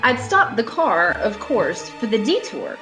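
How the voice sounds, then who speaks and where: A woman's voice: mid-range voice, huge pitch swings, unhurried. Woman as uneasy female motorist, haunted, dramatic first-person storytelling, amateur radio play, music behind. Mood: uneasy, reflective. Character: uneasy, haunted woman motorist driving alone cross-country. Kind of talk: amateur radio thriller acting.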